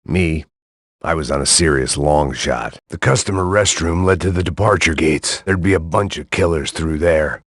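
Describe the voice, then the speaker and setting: A world-weary middle-aged ex-cop narrates a noir video game in a bleak, sardonic deadpan. His voice sits extremely low and sounds husky and gravelly. It is recorded dry and close, with no room sound. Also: moderate pace, dry recording. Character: world-weary, hard-drinking male ex-cop antihero.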